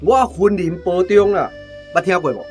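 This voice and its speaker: An actor playing a crude, lazy, fat army sergeant in a radio drama, blustering and coarse, with a Taiwanese accent. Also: male voice, high voice, normal speed, darkish tone, faint music behind, close to an everyday voice. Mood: gruff, blustering.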